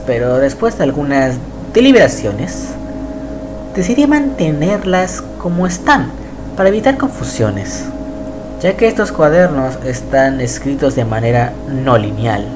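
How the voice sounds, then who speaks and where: A man reading a scripted horror narration in a measured, even way. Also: mid-range voice, noisy background. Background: music.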